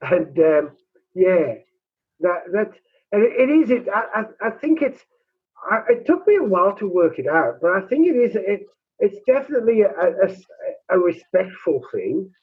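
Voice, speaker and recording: Man, minister, squeaky and husky, halting delivery, phone-line sound. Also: very animated. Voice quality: high, squeaky voice. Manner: halting, many restarts and repeated words. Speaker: rural minister. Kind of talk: conversational interview.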